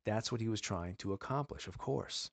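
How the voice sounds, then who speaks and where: A man giving an earnest sermon-style teaching, asking his listeners pleading rhetorical questions and slowing down to stress key words. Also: lowish voice, gravelly, dry recording.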